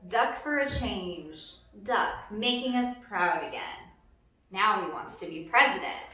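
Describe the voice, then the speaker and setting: A woman reading a children's picture book aloud as a storyteller, with deliberate, emphatic phrasing and brief asides. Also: mid-range voice, sharp tone. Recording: very echoey room, distant mic, noisy background.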